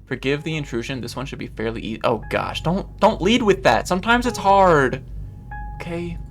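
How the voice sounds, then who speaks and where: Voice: mid-range voice, animated, moderate pace. A host playing a game and commenting casually, reacting expressively with exclamations and reading on-screen text aloud. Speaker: gaming video host. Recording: music behind the voice. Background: game background music.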